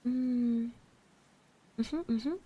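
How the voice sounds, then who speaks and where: Slow, gentle high-voiced ASMR woman. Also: steady tone, husky, dull audio. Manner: gentle, reassuring, hesitant musing with pauses and soft hums. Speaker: ASMR roleplay streamer. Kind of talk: soft-spoken ASMR roleplay, personal encouragement. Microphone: close microphone, dry.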